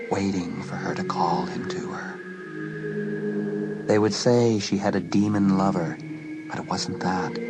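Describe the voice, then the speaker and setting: A man narrating a suspense story in a dramatic, scripted style, letting phrases drop to a hush. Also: low-pitched. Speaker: audiobook narrator.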